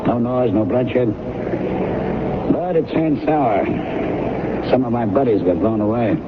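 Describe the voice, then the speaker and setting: A man's voice: mid-range voice, measured pace, natural voice. A man playing an easygoing film director and war veteran, casual and wry, in a naturalistic radio drama. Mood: somber. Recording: very noisy, tinny old radio broadcast.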